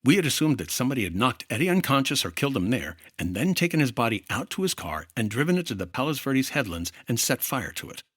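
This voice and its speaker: An actor playing a sharp, wry insurance investigator in an old-time radio detective style, with a dry, analytical delivery. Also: male voice, mid-range voice, very animated, fast, few pauses, slightly rough, no room sound. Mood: reasoning.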